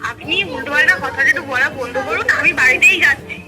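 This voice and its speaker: A woman's voice: high-pitched, fast. A woman in an everyday phone conversation as a customer, speaking matter-of-factly. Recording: phone call under loud music. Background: loud music.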